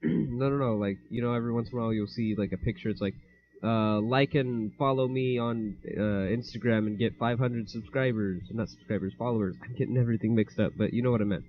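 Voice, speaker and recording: A man's voice: medium pitch, steady tone, quick pace. A young man co-hosting a podcast, chatting casually and rambling, often laughing and mixing up his words. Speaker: podcast co-host. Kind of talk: casual chat. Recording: dull lo-fi home mic.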